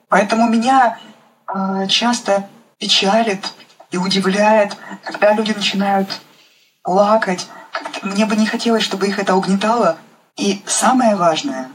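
Woman, medium pitch, reflective interview talk, halting, searching for words, faint background noise. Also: rough recording. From Ukraine. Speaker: guest playwright.

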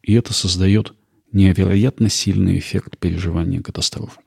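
Man, low gravelly voice, slightly husky, dry close recording, measured scripted narration. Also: steady tone, darkish tone, no echo. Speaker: narrator and host. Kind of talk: scripted narration on theatre history.

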